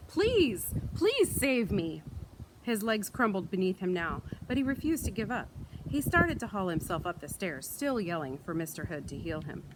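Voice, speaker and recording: A woman reading a children's book aloud in an expressive storytelling style, recorded outdoors. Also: fairly low pitch. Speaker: read-aloud reader. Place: outdoors in wind. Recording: light outdoor wind and ambient noise.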